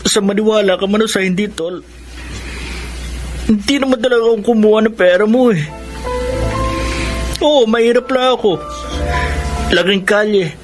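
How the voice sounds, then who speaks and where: Actor as a high-voiced, earnest young man. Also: lightly acted. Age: young adult. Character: poor, earnest, wronged young man from the streets. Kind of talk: radio drama acting.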